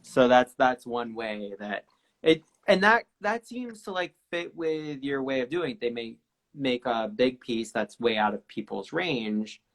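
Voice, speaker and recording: A man hosting an art interview, relaxed and appreciative, often repeating words as he gathers his thoughts. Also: mid-range voice, measured pace. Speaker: host. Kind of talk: casual interview talk.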